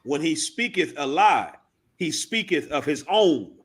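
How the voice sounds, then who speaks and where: A man reading short scripture verses aloud in a plain, measured way. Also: a little high, lively intonation, a bit bright, husky. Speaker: scripture reader.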